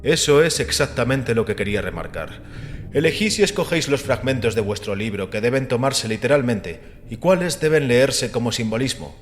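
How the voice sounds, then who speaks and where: A man voicing a calm, assured and scornful critic of religion, measured and didactic, in a dramatized audiobook. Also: mid-range voice, slight background noise, close to an everyday voice. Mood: assertive, scornful. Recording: long reverberant hall with music behind. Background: music.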